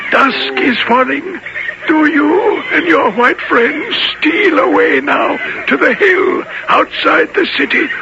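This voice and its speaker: Urgent, very high-pitched ally. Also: male voice, lively intonation, slow pace, creaky, full character voice. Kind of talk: 1970s radio adventure acting. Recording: dull, noisy old radio broadcast.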